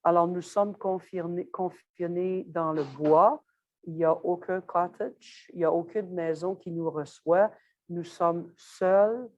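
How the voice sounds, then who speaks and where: A middle-aged woman from Quebec, speaking slowly and carefully with pauses as a guest speaker. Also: fairly low pitch, fairly even intonation, a little mellow, dull audio. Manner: slow, measured, with frequent pauses and fillers. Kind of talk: webinar presentation; scripted narration in the video part.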